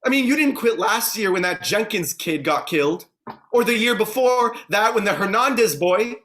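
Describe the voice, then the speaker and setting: A man voicing a guilt-ridden police officer with a high, bright, tense voice. He pleads frantically, his pitch leaping about as he reads the scene. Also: expressive, moderate pace. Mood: defensive. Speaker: actor in a staged play reading. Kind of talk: staged play reading.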